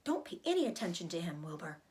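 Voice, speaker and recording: A woman reading as a motherly storybook spider, calm and a little weary. She speaks in a measured, sighing way, gently firm with the others. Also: fairly low pitch, voice pushed down.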